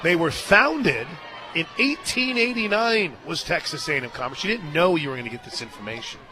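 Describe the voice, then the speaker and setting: An American man works as a radio play-by-play announcer for softball, with a fairly high voice. He is conversational and folksy between pitches, then punchy on the calls. A stadium crowd is clearly audible behind his broadcast mic. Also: animated, brisk. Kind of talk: live softball radio commentary with casual banter.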